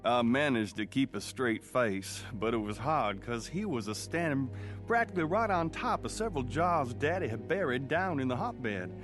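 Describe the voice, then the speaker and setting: A man's voice: medium pitch, expressive, normal speed, close to an everyday voice. An actor playing a country son narrating his rural boyhood in a radio drama, in a folksy rural Southern accent. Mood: reflective, storytelling. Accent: rural Southern American. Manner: folksy storytelling. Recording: music under the voice. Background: music.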